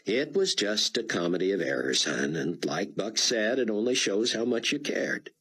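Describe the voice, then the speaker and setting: A man's voice: fairly low pitch, very animated, normal speed, fairly bright tone, breathy edge, pitched above the performer's own voice, heavily acted. A man doing a wry, teasing middle-aged dad. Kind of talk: audiobook character voice.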